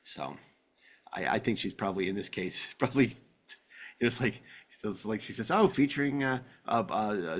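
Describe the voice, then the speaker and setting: A man's voice: on the low side, fairly bright tone. A man hosting a podcast in casual banter, rambling along with enthusiasm and wry humor. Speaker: podcast host.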